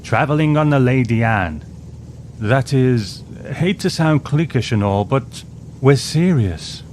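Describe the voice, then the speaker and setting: A man voicing a fussy, politely snobbish elderly gentleman in a storybook reading. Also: mid-range voice, lively intonation, slow, a little hiss or hum, voice pushed up. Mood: fussy, disapproving.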